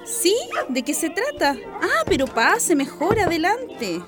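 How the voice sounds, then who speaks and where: Very high-pitched, anxious Chilean housewife played by an actress. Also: female voice, very animated, takes it slowly, over music, heavily acted. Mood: polite, curious. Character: worried working-class Chilean wife and mother, easily alarmed. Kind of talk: radio drama acting. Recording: noisy recording with clicks.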